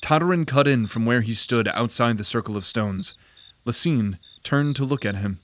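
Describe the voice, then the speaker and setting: A man narrating a scripted fantasy audio drama in a deliberate, grave storytelling manner. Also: medium pitch.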